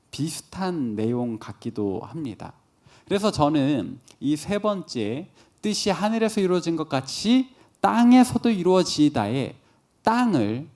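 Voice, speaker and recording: A man's voice: sits high, a little mellow. A man in his thirties preaching a conversational sermon as a pastor, posing questions and answering them himself. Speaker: pastor preaching to young adults.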